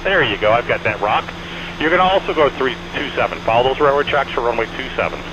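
Fast-talking male controller on noisy aviation radio. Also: medium pitch, animated, lo-fi sound. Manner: clipped, repetitive directives with courteous sign-offs. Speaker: air traffic controller at an airshow arrival. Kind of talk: rapid radio traffic instructions. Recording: band-limited aviation radio, aircraft engine noise. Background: small airplane engine drone.

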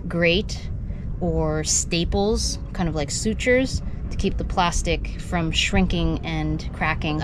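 A woman's voice: sits low, noisy background. Frustrated woman rambling on a sailboat. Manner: rambling, frustrated, thinks aloud with many fillers. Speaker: sailing vlogger. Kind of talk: casual vlog talk to camera. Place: outdoors on a sailboat deck.

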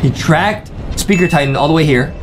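A man's voice: fairly high pitch, fairly quick, heavy background noise. A young man hosting a reaction video, giving hyped live commentary full of exclamations, slang and repeated catchphrases. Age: young adult. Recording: loud music under the voice.

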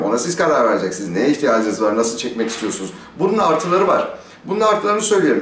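A man's voice: mid-range voice, a bit bright. A middle-aged man giving a casual, explanatory product review to camera in a conversational way, often asking and answering his own questions. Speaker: motorcycle gear reviewer, host. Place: small stone-walled outdoor courtyard. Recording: roomy echo, distant microphone.

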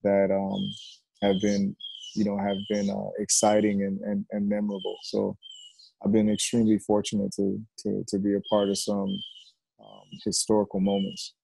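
Middle-aged male coach, mellow and gravelly, reflective and halting, casual interview. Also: fairly low pitch, monotone, no room sound, low-quality recording. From Jamaica and United States. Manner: reflective, halting with repeated words. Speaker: high school football coach, interview guest. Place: covered outdoor porch.

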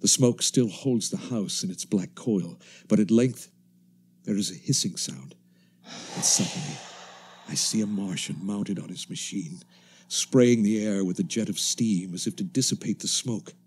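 An actor playing a haunted, weary professor and lone survivor, in a hushed, halting radio monologue. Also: male voice, fairly low pitch, slight background noise, natural voice. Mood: weary, watchful. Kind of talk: dramatic first-person radio monologue.

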